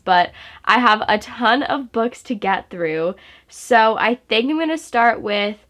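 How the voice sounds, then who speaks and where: A woman's voice: fairly high pitch, a bit bright. A young woman in a casual book haul vlog, speaking from home by her bookshelves, slightly stuffy from a sore throat and gushing with enthusiasm. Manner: gushing, enthusiastic, chatty with fillers. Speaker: book vlogger. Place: home room in front of bookshelves.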